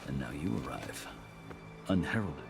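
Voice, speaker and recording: Courtly fantasy game marshal, low voice, measured, formal, music behind. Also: male voice, big pitch swings, vocal fry, exaggerated voice. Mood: wry, cautioning. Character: dignified, diplomatic captive marshal, seasoned soldier.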